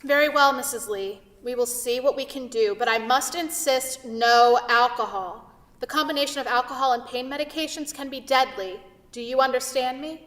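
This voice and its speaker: A woman's voice: sits high, normal speed, fairly bright tone. A woman playing a stern, officious hospital nurse with a firm, formal manner in a staged play reading. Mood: firm warning. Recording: echoey hall from far off.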